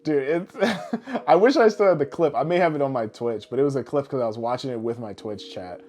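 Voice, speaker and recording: Male podcast co-host, average pitch, blunt casual talker who swears freely, light music underneath. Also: a little fast, a little mellow. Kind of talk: casual pop-culture chat.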